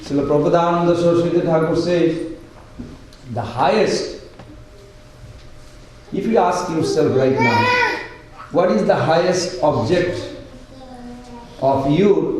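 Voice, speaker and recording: A man with a loud voice, giving an earnest devotional lecture with many pauses and restarts. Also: high voice. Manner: earnest, unhurried, with pauses and broken sentences. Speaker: speaker at a devotional study group. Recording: very echoey room, voice far from mic, faint hiss.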